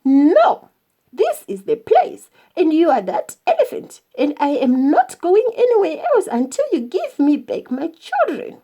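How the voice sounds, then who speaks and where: A woman's voice: high voice, wildly swooping pitch, measured pace, dark tone, pitched above the performer's own voice, heavily acted. A woman voicing a fearless, angry mother in a children's story, scolding and insisting boldly. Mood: furious, demanding.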